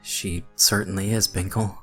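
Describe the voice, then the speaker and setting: A man's voice: sits low, fairly even intonation, takes it slowly, a bit bright, faint music behind, voice pushed up. A man voicing a frightened, polite young boy in a horror story, shaky and hesitant. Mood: nervous.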